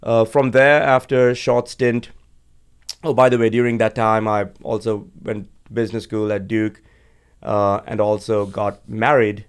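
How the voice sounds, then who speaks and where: Low, even-toned man telling his story. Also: smooth. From Sri Lanka. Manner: measured, steady storytelling with frequent uh and um fillers. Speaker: guest, energy executive. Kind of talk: conversational interview. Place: podcast studio.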